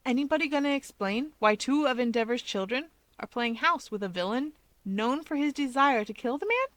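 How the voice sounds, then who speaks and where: A woman's voice: high voice, animated, moderate pace, a bit bright, no echo, pitched above the performer's own voice. A woman voicing a wary young male hero in a story reading, incredulous and questioning, forcing himself to be patient. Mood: strained patience. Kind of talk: fan fiction audiobook character voice.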